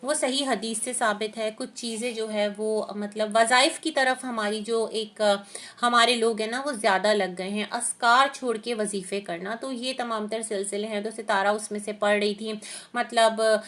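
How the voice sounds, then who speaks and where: A woman with a somewhat high voice and a sore throat gives reaction commentary. She lays out her opinions in a steady, explanatory way. Her talk is full of small hesitations as she searches for words. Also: normal speed. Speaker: reaction vlogger.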